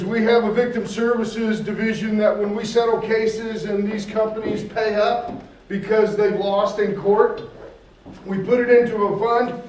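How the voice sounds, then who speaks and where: A folksy man speaks to a community group, joking about himself as he goes. His voice sits high for a man and carries through a roomy, echoing space, picked up from well off the mic. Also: darkish tone, a little hiss or hum. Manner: folksy, jokey, self-deprecating. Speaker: guest speaker, public outreach coordinator. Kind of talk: public talk to a community group. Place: community meeting room. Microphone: speaks without a microphone. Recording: echoey room, far from the mic.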